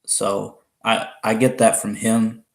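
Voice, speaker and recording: A man in his twenties from Alabama with a Southern accent and a deep voice, chatting casually with viewers on a live stream. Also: monotone, slow pace, vocal fry. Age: 20s. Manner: rambling, chatty, laughs often, restarts. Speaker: livestream host.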